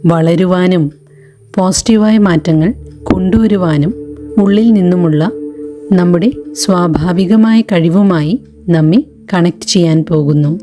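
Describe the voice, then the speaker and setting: Slow, soft-toned woman guiding meditation. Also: fairly low pitch. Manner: gentle, calm, deliberate, long pauses between short phrases. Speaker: meditation guide. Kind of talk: slow guided meditation narration.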